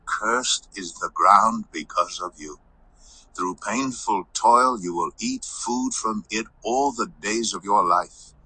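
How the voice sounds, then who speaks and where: A man reading scripture aloud in a measured, formal delivery. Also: lowish voice, pushed and bright, breathy edge, low-quality recording.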